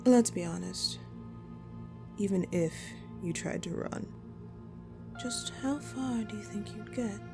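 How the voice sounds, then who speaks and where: A woman playing a dominant, hungry female vampire in an audio roleplay, taunting and menacing her prey in a condescending, breathy voice. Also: mid-range voice, loud music behind the voice. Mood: mocking, playful. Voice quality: breathy, often dropping toward a whisper. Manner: slow, teasing, condescending, menacing. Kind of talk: audio roleplay acting, addressed to the listener.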